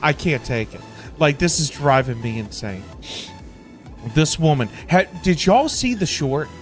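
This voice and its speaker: Male livestreamer, casual and exclamatory, highly varied intonation, repetitive phrasing, faint music behind. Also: measured pace. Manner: animated, exclamatory, repeats words. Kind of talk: casual livestream game reaction and chat.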